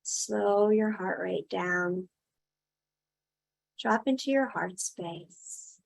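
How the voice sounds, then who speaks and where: Slow-spoken woman leading a guided meditation. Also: medium pitch, creaky, rough recording. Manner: unhurried short phrases with long pauses.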